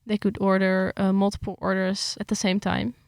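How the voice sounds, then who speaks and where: A woman's voice: medium pitch, normal speed, clear, resonant tone, no room sound, clean studio sound. A young woman talks casually about her article, with some hesitation, then reads it aloud steadily. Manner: hesitant with fillers when chatting, steady when reading. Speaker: writer reading her article.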